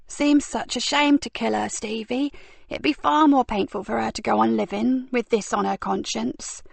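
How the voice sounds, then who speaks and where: A woman's voice: fairly high pitch, fairly even intonation, vocal fry, no room sound. Woman voicing icy, taunting Frankie. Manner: slow, cold, taunting. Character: cruel, icy female criminal.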